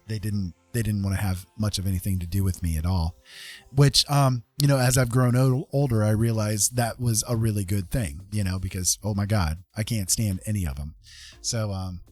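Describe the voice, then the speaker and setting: A man's voice: lowish voice, no echo. A man chatting casually as a livestream host, rambling conversationally and often checking in with his listeners. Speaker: live streamer host.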